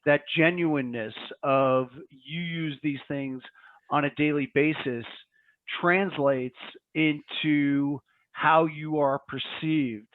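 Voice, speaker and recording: A man co-presenting in a conversational discussion, with halting, reflective phrasing. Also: a little high, dry recording. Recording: dry, thin phone-like line.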